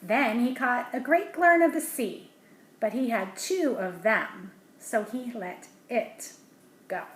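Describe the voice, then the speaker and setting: A woman with a slightly high voice reads a picture book aloud to children at a slow, patient pace. She keeps breaking off into gentle, hushed asides about the pictures. The recording is roomy and echoey. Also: animated. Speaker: storybook reader. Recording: roomy echo, faint background hiss.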